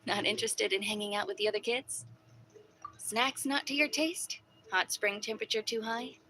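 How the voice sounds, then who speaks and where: A woman's voice: mid-range voice, fairly even intonation, normal speed, bright, forceful tone, slight background noise, lightly acted. A woman voicing a confident, caring leader of warriors in a video game, commanding yet casual. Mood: gentle, probing.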